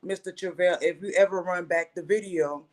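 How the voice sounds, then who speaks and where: A woman in her thirties, Haitian Creole her first language, sharing her personal story as a podcast guest in an earnest, pausing way. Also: on the low side, steady tone. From Haitian family, raised in Florida. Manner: earnest, pausing, self-correcting.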